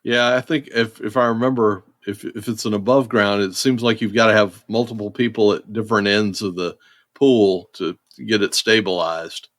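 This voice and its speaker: A man in his forties hosting a podcast, reading a guest intro and then chatting in a steady way. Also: fairly low pitch, normal speed, no room sound, clean studio sound. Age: 40s. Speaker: podcast host.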